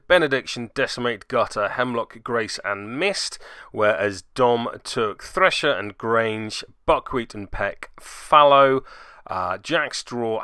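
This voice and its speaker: A man's voice: medium pitch, pushed and bright, smooth, dry recording, clean studio sound. A man hosting a web series, giving jokey, self-deprecating commentary on tabletop games with theatrical turns of phrase. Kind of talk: tabletop game video commentary.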